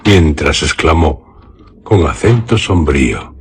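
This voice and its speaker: A man narrating a literary radio drama in a measured, solemn way. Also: rumbling low, animated, breathy edge.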